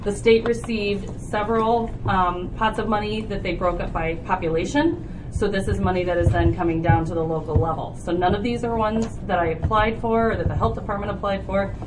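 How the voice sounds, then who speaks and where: A woman's voice: medium pitch, fairly even intonation, a little fast. A woman from a health department presenting a funding resolution to a county committee in an organized, explanatory way, with frequent fillers. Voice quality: loud voice. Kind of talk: formal public meeting presentation. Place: county board meeting room. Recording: distant, noisy room recording.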